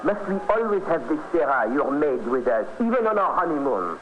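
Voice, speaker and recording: An actor playing a vain, cold, scheming count in a vintage radio drama. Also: male voice, on the high side, very animated, normal speed, music in the background. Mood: smooth, irritated. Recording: muffled, very noisy old radio recording.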